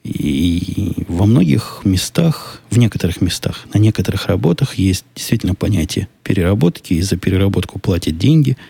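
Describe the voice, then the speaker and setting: A man with a deep voice hosting a solo podcast, answering listener questions in a casual, musing way, often skeptical and restarting his sentences. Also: lively intonation, normal speed, vocal fry, hardly any pauses, warm tone, husky.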